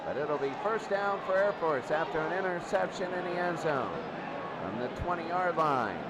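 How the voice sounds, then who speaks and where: A man's voice: fairly high pitch, lively intonation, normal speed. A man doing live American football play-by-play as the announcer, in short clipped bursts that often repeat. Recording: very noisy ambient amateur recording.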